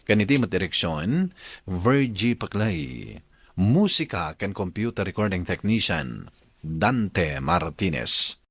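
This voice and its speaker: Animated Filipino male radio drama host. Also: low-pitched, takes it slowly, husky, no echo. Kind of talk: scripted radio narration and letter reading.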